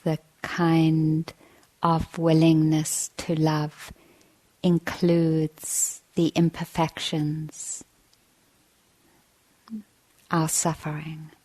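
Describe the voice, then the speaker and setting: Female meditation teacher, low and fairly even in tone, gentle, measured delivery with generous pauses. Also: poor audio. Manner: gentle, measured, with long pauses between short phrases. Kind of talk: guided meditation dharma talk.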